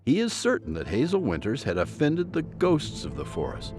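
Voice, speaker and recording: A man narrating a mystery audio drama as a storyteller, with suspenseful, deliberate phrasing. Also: fairly high pitch, wildly swooping pitch, over music.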